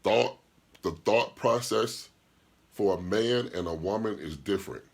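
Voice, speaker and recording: A man hosting a podcast and talking as a DJ, with a deep voice, speaking bluntly and profanely in an opinionated monologue, firing rhetorical questions and answering live chat comments. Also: measured pace, gravelly. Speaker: podcast host and DJ.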